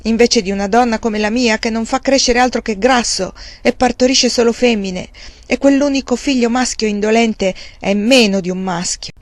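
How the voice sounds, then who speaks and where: A woman putting on a shrill, scheming old uncle. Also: normal speed, no echo, natural voice. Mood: self-pitying. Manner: shrill and trembling when angry, fawning when begging. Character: wheedling greedy old village uncle, man.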